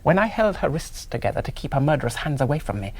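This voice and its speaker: An actor playing an earnest, priggish young rationalist hero, narrating a radio drama in precise, fastidious literary phrases. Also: male voice, fairly high pitch, big pitch swings, darkish tone. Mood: pitying.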